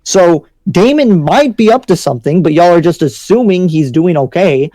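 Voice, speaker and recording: A man's voice: sits high, expressive, normal speed, warm tone, dry recording, poor audio. A man playing a blunt, paranoid demon slayer in an improvised anime game roleplay, speaking conversationally but insistently. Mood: frustrated, argumentative. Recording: background music under the voice.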